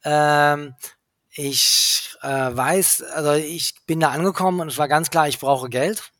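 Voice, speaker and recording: A man in his forties who grew up in England, a podcast guest chatting casually, rambling, restarting his sentences and talking in quick bursts. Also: a little high, fairly even intonation, fairly bright tone, dry recording, clean studio sound. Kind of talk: casual conversation.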